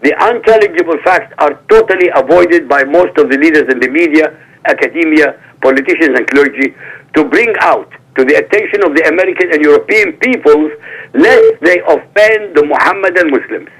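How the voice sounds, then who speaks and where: A man's voice: on the high side, fairly quick, fairly bright tone. A man whose first language is Arabic, a guest on a talk show who reads a prepared statement in a formal, emphatic way over a phone line. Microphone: phone call.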